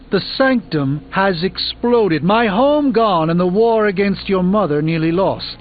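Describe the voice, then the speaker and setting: An actor playing a proud, grave sorcerer burdened by failure in a video game, speaking in a formal, dramatic, articulate manner. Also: male voice, on the high side, narrow, tinny audio, slight background noise. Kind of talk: video game character dialogue.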